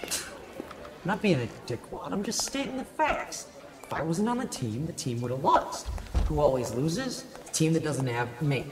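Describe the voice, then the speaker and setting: An actor playing a troubled teenage high school boy in an amateur radio drama, slangy and dramatic in delivery. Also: big pitch swings, a little slow, background noise. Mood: cocky.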